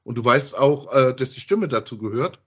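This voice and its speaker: A man's voice: medium pitch, lively intonation, moderate pace, fairly bright tone, slightly rough. A man with an ordinary mid-range voice. He talks casually as a podcast co-host, in loose colloquial phrases, often adding a short tag to check agreement. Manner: colloquial, often tags sentences with "ja". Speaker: co-host of a podcast about radio plays. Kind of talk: casual conversational chat.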